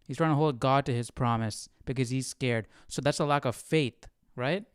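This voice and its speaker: A man's voice: medium pitch, moderate pace, clean tone, no room sound, studio quality. A man hosting a casual live Bible study, thinking aloud and questioning, often checking with "right?".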